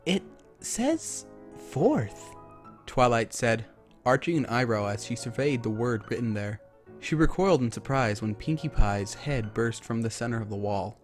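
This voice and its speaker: A man's voice: mid-range voice, moderate pace. Male storyteller over music. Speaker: narrator. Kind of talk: dramatic reading of fan fiction.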